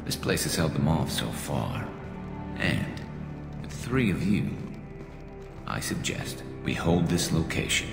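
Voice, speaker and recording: Male alien sniper vigilante, video game, dry wit, raspy-deep, music under the voice. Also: heavily acted. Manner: wry, deadpan. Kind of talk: video game cutscene acting. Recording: reverberant game mix with score.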